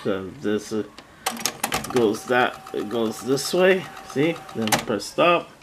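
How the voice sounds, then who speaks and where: A man giving a casual, halting product demonstration as a seller, often repeating himself, recorded indoors on a handheld camera. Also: mid-range voice, animated, noisy background. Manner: halting, repetitive, plain-spoken. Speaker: online seller demonstrating an item. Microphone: handheld camera, speaker off screen behind it.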